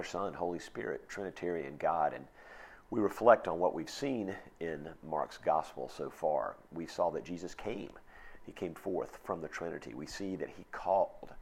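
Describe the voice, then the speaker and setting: Hoarse man, pastor, folksy sermon, very low voice, husky tone. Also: a little mellow. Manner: folksy, conversational preaching with reflective asides.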